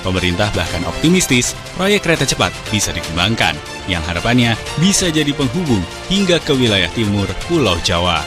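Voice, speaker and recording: A man's voice: on the low side, very animated, a little fast, music in the background. A man narrating a scripted news feature in a formal reporting style.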